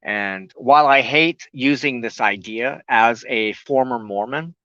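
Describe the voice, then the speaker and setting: A man hosting a spiritual talk show, explaining loosely and haltingly, often pausing mid-sentence to search for words. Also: mid-range voice, fairly bright tone, clear, resonant tone, no room sound, highs cut off.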